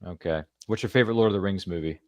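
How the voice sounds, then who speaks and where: A man's voice: low voice, racing, a little mellow, no echo. A man hosting a podcast interview, chatty and rambling, with jokey asides. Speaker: podcast host. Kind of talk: casual interview chat.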